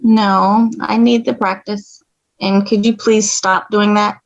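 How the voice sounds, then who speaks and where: Woman as bossy 14 yo genius girl, vocal fry, matter-of-fact, muffled recording. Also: medium pitch, little pitch movement, slow. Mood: blunt. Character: assertive, indignant fourteen-year-old girl doctor. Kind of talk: script table read. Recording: dull, lo-fi audio.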